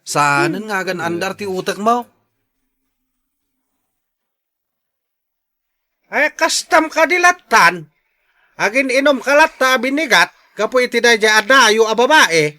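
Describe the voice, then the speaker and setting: A man's voice: high voice, very animated, moderate pace, sharp tone, exaggerated voice. An actor with a Filipino accent playing an exasperated older father who gives blunt, scolding advice in a radio drama.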